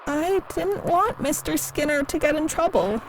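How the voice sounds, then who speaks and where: A meek, lonely woman giving an interview in an audio drama. Her voice sits high and she speaks hesitantly, earnest and naive. Clear background noise runs under the recording. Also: animated, moderate pace, low-quality recording. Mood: meek, defensive. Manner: hesitant, soft-spoken, earnest. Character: timid, lonely, naive female museum worker defending a monster.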